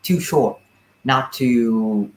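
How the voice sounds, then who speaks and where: A man's voice: medium pitch, drawn-out words, echoey room, background noise. A young man giving a thoughtful interview answer over a video call. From Vietnam. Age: young adult. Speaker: young puppeteer, interview guest. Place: small indoor room with puppets, on a video call.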